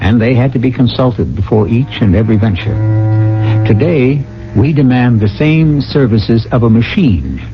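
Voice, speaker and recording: A man narrates as the host of an old radio mystery. He is measured and theatrical, at a medium pitch. Music plays behind him and the recording is dull. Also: animated. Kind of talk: scripted narration, 1980 radio mystery hosting.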